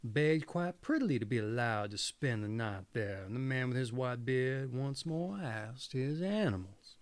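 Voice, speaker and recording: A man's voice: mid-range voice, expressive, measured pace. A man reading a fairy tale aloud expressively as a storyteller.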